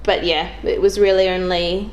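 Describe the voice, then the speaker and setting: A woman's voice: fairly low pitch, flat delivery, normal speed, highs cut off, slight background noise. A young woman chats casually as a podcast guest, thinking aloud in an informal, hesitant way. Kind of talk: casual chat.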